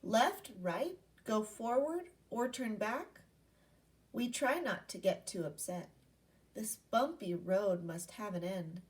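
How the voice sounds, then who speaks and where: A woman's voice: medium pitch, expressive, slow, recorded from a distance. A woman primary school teacher giving an interactive read-aloud lesson to young children, asking questions and repeating key points in an instructional way.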